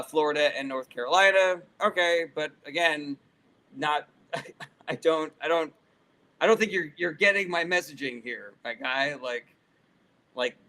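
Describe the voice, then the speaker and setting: A man with a somewhat high, very bright voice hosts a live political Q&A. He speaks casually and conversationally, reading out comments and replying to them. His delivery is opinionated and argumentative, with a forceful, sharp edge. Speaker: live stream host answering viewer questions.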